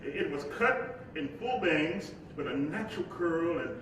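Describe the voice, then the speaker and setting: A man acting a playful, devoted young husband in a staged play reading, with a halting, storytelling delivery. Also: on the high side, lively intonation, fairly bright tone. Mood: fond, reminiscing. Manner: halting, repeats words, chuckling delivery. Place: large echoey room, live staged reading. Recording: very echoey live hall, distant mic, faint noise.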